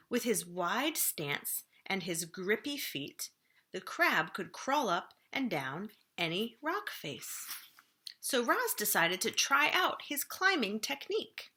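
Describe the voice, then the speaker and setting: A woman reading a children's storybook aloud expressively, with deliberate dramatic emphasis. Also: on the low side, sharp tone.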